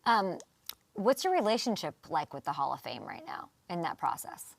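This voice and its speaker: A woman's voice: on the low side, big pitch swings, moderate pace, gravelly, no room sound. A woman hosting a casual sports talk show, chatty and teasing as she interviews a guest. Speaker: host.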